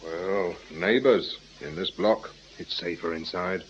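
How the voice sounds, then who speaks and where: An actor playing a shrewd, dry police inspector with a wry, probing manner in a radio crime drama. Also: male voice, sits low, slow pace. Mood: probing, mock casual. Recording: muffled, noisy radio drama recording.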